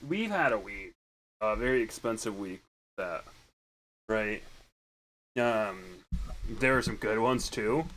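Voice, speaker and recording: A young man with a deeper voice chats casually into a desk mic while fixing his stream setup. His pitch sits in an ordinary middle range. He rambles, backtracks and corrects himself as he talks to viewers. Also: fairly bright tone, poor audio.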